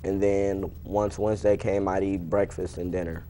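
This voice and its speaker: A man's voice: sits low, flat delivery, normal speed, dark tone, a little hiss or hum. A young man giving casual interview answers as an athlete, plain-spoken and informal. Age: young adult. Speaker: wrestler being interviewed.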